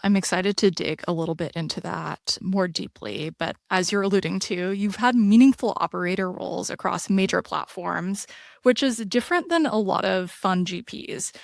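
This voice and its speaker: A woman's voice: medium pitch, moderate pace, vocal fry, dry recording, clean studio sound. A woman hosting an interview podcast, reading a prepared intro and asking questions with engaged interest. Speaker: podcast host.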